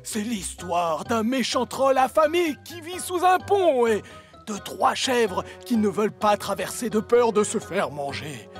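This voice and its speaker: A woman voicing a timid young boy engine who talks anxiously and excitedly in a cartoon dub. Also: on the high side, measured pace. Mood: eager. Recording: cartoon dub with loud music.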